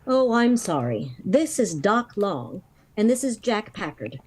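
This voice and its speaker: An actress playing an earnest, protective sister in a vintage radio drama. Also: female voice, medium pitch, very animated, unhurried, darkish tone, breathy edge. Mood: anxious, polite. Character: earnest, protective sister of a reckless young man.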